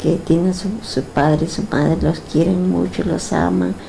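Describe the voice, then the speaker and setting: An older woman lying down after a hypnosis session, answering slowly and hesitantly, with restarts and soft agreement. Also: on the low side, steady tone, vocal fry, a little hiss or hum, poor audio. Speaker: hypnosis client. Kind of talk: casual talk after a session. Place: lying down in an indoor therapy room.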